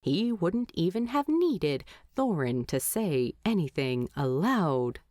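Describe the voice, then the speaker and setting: A woman reading scripted fiction aloud as a storyteller, expressively and with deliberate dramatic emphasis. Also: lowish voice, very animated, darkish tone, dry recording, clean studio sound. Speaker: fan fiction narrator.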